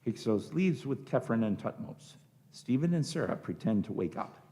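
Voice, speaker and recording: A male narrator reads scripted stage action for a radio drama. His voice is medium in pitch with a strong creaky, gravelly edge. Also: sing-song, normal speed, dark tone.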